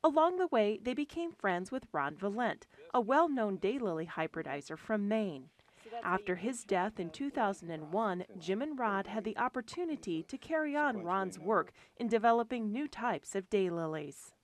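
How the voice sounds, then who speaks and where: A woman reading scripted documentary narration in a lively, expressive way, recorded as a studio voice-over. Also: medium pitch, normal speed. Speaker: program narrator. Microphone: studio voice-over mic.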